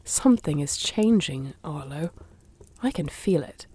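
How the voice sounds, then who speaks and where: A woman's voice: sits low, lively intonation, unhurried, dark tone, husky, heavily acted. A woman playing a wry, shrewd thief for hire in a fantasy audio drama, with a dry, teasing, understated delivery. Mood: probing. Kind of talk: fantasy audio roleplay acting.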